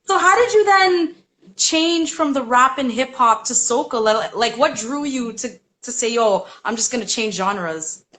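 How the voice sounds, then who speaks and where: A woman's voice: fairly high pitch, animated, a little slow, fairly bright tone. A woman in her thirties hosting an interview in a chatty, encouraging way. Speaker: interview host. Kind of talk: casual interview chat.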